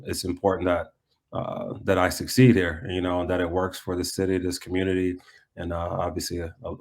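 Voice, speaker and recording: An American man, a soccer head coach, answering interview questions conversationally. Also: sits low. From United States.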